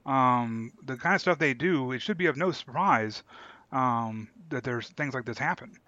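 A man with a bright, forceful voice gives his opinions as a podcast co-host. His casual delivery is halting and deliberate, and the sound is dry and slightly muffled. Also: medium pitch, expressive, no echo. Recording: dull, lo-fi close microphone.

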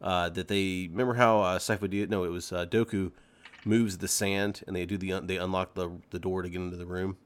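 A man co-hosting a podcast, chatting casually in an excitable, rambling way. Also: deep voice, brisk, clean tone. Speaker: podcast co-host. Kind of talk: casual group chat.

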